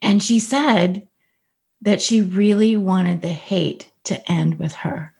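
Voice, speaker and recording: A woman from California, a podcast guest, speaking earnestly and reflectively about her family and slowing down to stress key points. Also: medium pitch, vocal fry, darkish tone. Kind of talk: personal storytelling in conversation.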